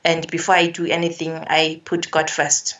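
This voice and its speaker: A young woman from Zimbabwe gives earnest, measured answers in a low, flat voice and often restarts her phrases. She sits some way back from the microphone, so she sounds distant. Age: young adult. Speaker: climate activist guest. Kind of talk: remote interview answers. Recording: distant from the mic.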